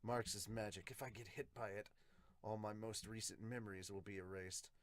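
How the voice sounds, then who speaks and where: A man's voice: on the low side, steady tone, normal speed, natural voice. A man voicing a weary, blunt, sardonic male knight captain in a manga dub. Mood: tense.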